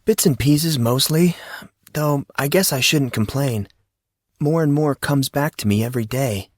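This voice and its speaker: A man's voice: medium pitch, a little slow, dry recording, clean studio sound, voice pushed up, natural voice. A man voicing an earnest, tentative fourteen-year-old boy in audiobook dialogue. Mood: earnest, unsure. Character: 14-year-old boy, uncertain amnesiac high school freshman.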